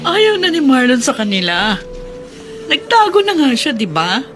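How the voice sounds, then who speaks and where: A woman's voice: sits high, big pitch swings, measured pace, music in the background. An actress playing an anguished, protective working-class mother, plaintive and emotional in a Filipino radio drama. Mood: reproachful.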